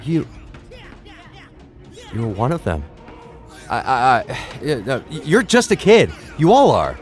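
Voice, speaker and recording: A man's voice: mid-range voice, wildly swooping pitch, a little slow, soft background music. An actor playing a shocked, protective middle-aged father in an audio drama, agitated and stammering. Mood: disbelieving.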